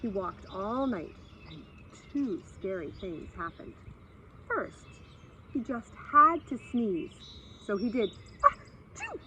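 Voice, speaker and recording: A woman reading a story aloud expressively for children, pausing to explain and speak to her young listeners. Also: medium pitch, expressive. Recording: slightly dull sound with faint background noise.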